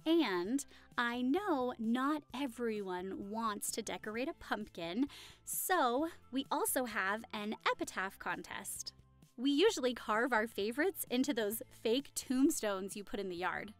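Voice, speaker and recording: A woman's voice: a little high, expressive, measured pace, faint music behind. A woman playing a friendly, affectionate young hostess in an audio roleplay, chatting cheerfully and reassuringly to the listener. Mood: chatty, explaining. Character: friendly, affectionate young woman hosting a Halloween costume party.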